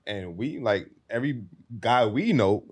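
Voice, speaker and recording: A man speaking in a laid-back way that often trails off quietly, making his point on a debate panel. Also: medium pitch, expressive, a little slow, breathy edge. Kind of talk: casual debate.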